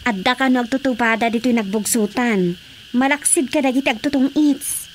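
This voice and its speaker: An actress with an Ilocano accent playing an earnest, gently insistent young village wife in a radio drama. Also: female voice, on the high side, brisk, slight background noise, natural voice.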